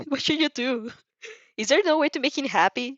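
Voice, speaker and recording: A woman chatting casually as a host, reacting to a story in a giggly, excitable, rambling way. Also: high voice, moderate pace, no room sound, muffled recording. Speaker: host reading fan fiction aloud and reacting. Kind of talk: casual reaction stream chat.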